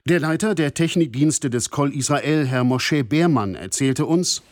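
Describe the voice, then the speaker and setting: An actor playing a sober radio news reporter in a radio play, measured and factual. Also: male voice, a little high, lightly acted.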